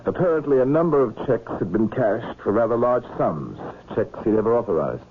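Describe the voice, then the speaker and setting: An actor playing a composed murder investigator, asking measured, formal and insistent questions in a vintage radio drama. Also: male voice, mid-range voice, animated, close to an everyday voice. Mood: pointed, formal. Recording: muffled, noisy old radio recording.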